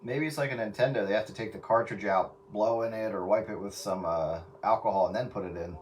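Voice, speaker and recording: A man talks casually on a radio round-table in a fairly low voice. He sounds a little way from the mic, under very loud noise. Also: fast, distant mic. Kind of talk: casual chat.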